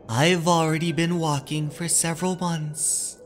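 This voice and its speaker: A man narrating in a measured, deliberate way, as the host of a horror story channel. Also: on the high side, little pitch movement, slight background noise.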